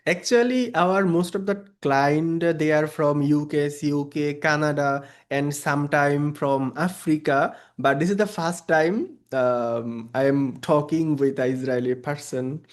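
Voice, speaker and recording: A man with a somewhat high voice and a Bangladeshi accent, pitching a service over a video call. Bengali is his first language, and he speaks English hesitantly, with frequent pauses and fillers. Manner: hesitant non-native English with many fillers. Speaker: freelance service provider. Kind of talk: client meeting on video call.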